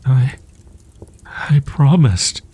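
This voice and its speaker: A man playing a proud, wounded young wolf-boy in an audio roleplay, stammering in archaic, formal phrasing with a husky, breathy voice. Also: medium pitch, lively intonation, extremely slow, dark tone, full character voice. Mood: anguished, defiant. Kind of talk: roleplay audio drama, ASMR.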